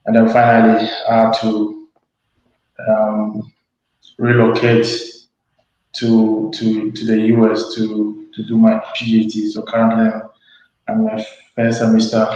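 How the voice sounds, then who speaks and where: Young Ghanaian male, unhurried and halting delivery, heard through dull audio in a very reverberant room. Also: on the low side, mellow. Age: young adult. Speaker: webinar guest, PhD student. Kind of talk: informal interview, introducing himself. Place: study room, online call. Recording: echoey room, muffled online call audio.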